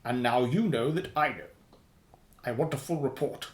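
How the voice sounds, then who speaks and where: A man's voice: mid-range voice, moderate pace, lightly acted. An actor playing a sardonic, menacing spy boss in a radio play, pompous, wordy and precise in his sarcasm. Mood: stern, scornful. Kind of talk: radio drama acting. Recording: roomy, echoing sound.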